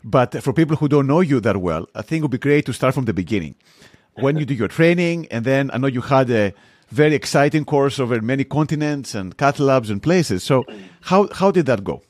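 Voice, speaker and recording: A man's voice: mid-range voice, animated, brisk, a little mellow, no room sound. A man hosting a podcast, friendly and asking questions with hesitant restarts. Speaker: podcast host. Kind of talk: interview hosting.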